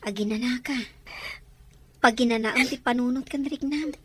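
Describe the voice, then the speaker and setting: Soothing, earnest friend, highish voice. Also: female voice, moderate pace, husky. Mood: soothing, concerned. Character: caring female friend consoling a hurt young woman. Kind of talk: radio drama acting.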